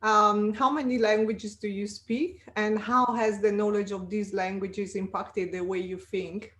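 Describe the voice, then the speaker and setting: A woman's voice: medium pitch, fairly even intonation, unhurried, fairly bright tone. A woman hosting a career podcast, reading a prepared introduction and then asking her guest questions. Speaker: podcast host.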